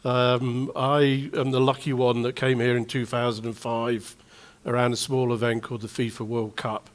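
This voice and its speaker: A man's voice: mid-range voice, level tone, normal speed. A middle-aged man with a Manchester accent, an event promoter giving a chatty, self-deprecating address at a press conference. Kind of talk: informal speech to the press.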